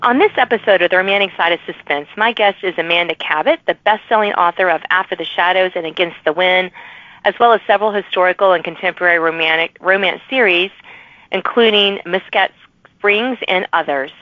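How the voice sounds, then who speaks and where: A woman's voice: on the low side, fairly quick, no echo. Dull-sounding chatty woman podcast host. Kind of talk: casual interview chat. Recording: dull, lo-fi remote audio.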